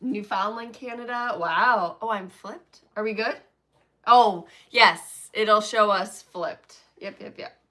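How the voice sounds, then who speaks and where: A chatty woman hosting a casual live stream into a phone camera. Her voice is bright and lively, and she laughs easily as she greets viewers and tells travel stories. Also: mid-range voice, slow. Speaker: co-host of a stitching live stream.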